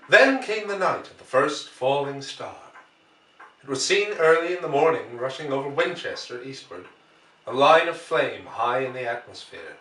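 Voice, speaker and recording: A man reads literary prose aloud as an audiobook narrator. His delivery is measured and given to storytelling, at a middling male pitch. The voice sits at a distance from the microphone, with clear room echo around it. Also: a bit bright. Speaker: audiobook reader. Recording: echoey room, distant from the mic.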